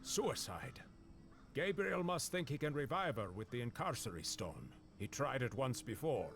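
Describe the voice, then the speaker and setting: An actor playing a stern, authoritative master monster hunter in a video game, grave and instructing. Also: male voice, mid-range voice, very animated, moderate pace, a bit bright, slight background noise. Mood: urgent, stern.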